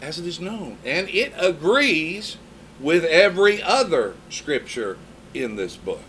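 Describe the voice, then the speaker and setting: A man's voice: on the high side, very animated, takes it slowly, fairly bright tone, slight background noise. A man in his fifties preaching a sermon in a conversational way, mixing scripture with personal stories. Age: 50s.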